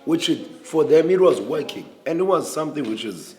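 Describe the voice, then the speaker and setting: A man's voice: fairly high pitch, lively intonation, moderate pace, darkish tone. A man in his twenties, a native Xhosa speaker, talking earnestly in a casual panel discussion with many restarts. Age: 20s.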